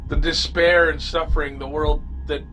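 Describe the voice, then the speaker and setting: A man playing a wounded, frightened survivor, telling his story in a dramatic, halting first-person horror audio drama delivery. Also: on the high side, flat delivery, a bit bright, faint music behind, lightly acted. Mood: weary, fading. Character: terrified wounded male survivor of a zombie horde.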